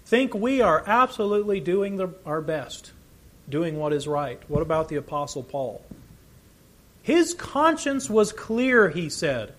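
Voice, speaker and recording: A man delivering a sermon in a fairly high voice that moves freely up and down. He speaks earnestly, leaning hard on key phrases and then dropping back down. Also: very animated, measured pace, a bit bright. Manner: earnest, emphatic teaching with swells of volume. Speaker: preacher.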